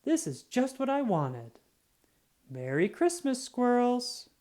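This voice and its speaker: A polite, delighted little squirrel gentleman from a storybook, voiced by a woman. The voice lifts well up and swoops freely, appreciative and exclamatory. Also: on the high side, very animated, takes it slowly, pitched above the performer's own voice. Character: delighted, polite male squirrel who loves treats.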